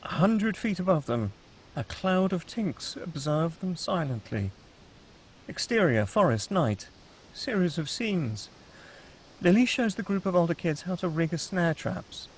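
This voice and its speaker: A man's voice: high voice, lively intonation, measured pace, a little hiss or hum, rough recording. A man reading screenplay stage directions aloud as the narrator at a table read.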